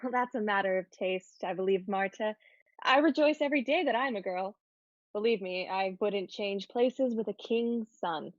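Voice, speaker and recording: A woman's voice: mid-range voice, moderate pace, no echo, natural voice. Self-assured schoolgirl, slightly muffled, a woman acting. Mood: proud, earnest. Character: happy, self-assured, romantic teenage schoolgirl. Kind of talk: dramatic reading of a period play.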